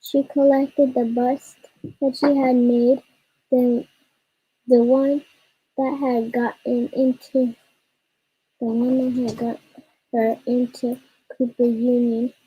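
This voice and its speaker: A young girl reading a story aloud haltingly, stumbling and repeating words. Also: fairly high pitch, flat delivery, breathy edge, highs cut off. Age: child. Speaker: child co-reader of a storytime.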